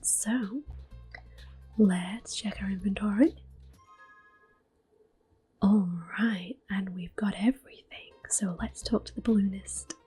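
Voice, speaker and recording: A young woman whispering gentle, unhurried commentary while playing a video game for an ASMR video. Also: medium pitch, breathy edge, soft background music. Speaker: ASMR gaming host.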